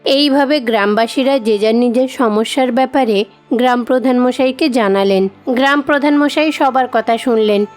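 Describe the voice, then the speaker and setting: A woman's voice: fairly high pitch, a little mellow. A woman narrator reading a scripted moral tale for a cartoon. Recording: music behind the voice.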